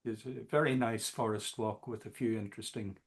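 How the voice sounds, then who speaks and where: A man talking as a guest musician in a casual interview, halting often with fillers. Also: on the low side, steady tone. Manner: slow, halting, many fillers.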